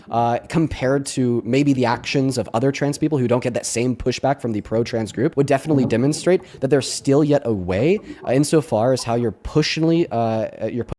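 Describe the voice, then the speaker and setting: A man's voice: medium pitch, fast talker, hardly any pauses, mellow. A man arguing in a debate, rambling and often restarting or rephrasing his points. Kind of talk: debate argument.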